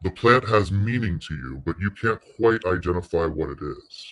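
A man leading a guided meditation in a soothing, unhurried way, pausing between short phrases. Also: low voice, lively intonation, a bit bright.

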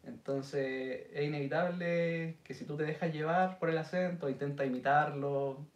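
A man in his thirties with a Chilean accent, telling stories in a relaxed podcast chat with Chilean slang. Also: on the high side, normal speed, lo-fi sound. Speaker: podcast host. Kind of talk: casual chat. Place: indoor studio set with city backdrop. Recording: roomy sound, mic a bit distant.

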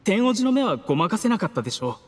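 A man's voice: sits high, sing-song, fast, in a large hall, slight background noise. A man voicing a timid, deferential male poisoner in an anime video game, humble and polite.

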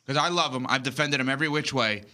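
Bright-toned male sports show host. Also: mid-range voice, fast. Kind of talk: studio sports debate. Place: TV studio. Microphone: desk boom mic.

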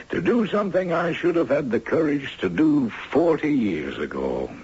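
An elderly retired judge for a 1970s radio play. His voice is rough and husky, with lively swings and a dry, courtly humor. The old recording is muffled. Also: a little high, heavily acted. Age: older. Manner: dry, courtly, given to chuckles and hums. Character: wry, gallant retired judge in his late seventies. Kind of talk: 1970s radio drama acting.

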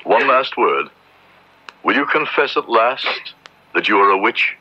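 An actor playing a solemn, conscientious Puritan minister with measured, formal diction in an old-time radio drama. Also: male voice, fairly low pitch, expressive, breathy edge. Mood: grave, troubled. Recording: dull, noisy old radio drama recording.

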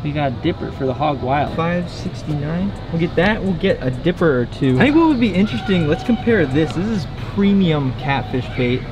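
Chatty young man with a slightly high voice. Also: animated, a little fast, hardly any pauses, noisy background. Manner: chatty, rambling, laughs at himself. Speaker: fishing channel host. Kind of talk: casual vlog talk to camera. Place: store aisle, then outdoor lakeside park. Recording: background music.

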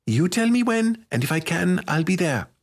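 A man's voice: high-pitched, big pitch swings, measured pace, breathy edge, voice pushed up, heavily acted. A man voicing a small, stubborn, feisty young girl in a fantasy storybook, with a snappy, firm manner. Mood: terse, firm.

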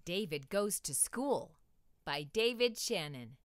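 A woman storyteller narrating a children's read-aloud in an expressive, deliberate manner. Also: medium pitch.

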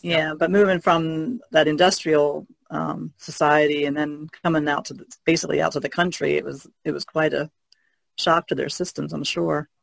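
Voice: medium pitch, level tone, moderate pace, creaky, no echo. A podcast co-host chatting casually in a rambling way. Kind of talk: casual chat.

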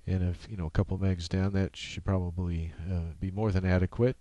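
A man with a very low, mellow voice presents an informal screencast tutorial. He explains each step in a halting, practical way. The sound is dry and close, with little room in it. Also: level tone, no echo.

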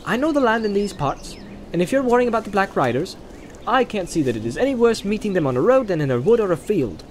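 Pippin, a restless young hobbit with a quick, high, bouncy voice, as a male reader puts him on for a fantasy audiobook. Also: a little hiss or hum. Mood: eager. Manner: quick, lively, raised pitch. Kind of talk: audiobook character voice.

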